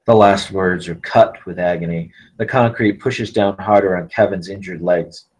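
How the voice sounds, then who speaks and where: Deep-voiced male screenplay narrator. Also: fairly even intonation, normal speed, a little mellow, poor audio. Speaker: stage-direction narrator. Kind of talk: scripted narration at a film table read.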